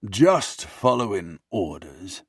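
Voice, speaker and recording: A man performs an elderly male butler with a slow, deliberate delivery and a gravelly edge. He is dry and sarcastic, an unbowed old servant in an audiobook who reproaches his master. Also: medium pitch, big pitch swings, a little mellow, no room sound, voice pushed up, heavily acted. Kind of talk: audiobook character dialogue.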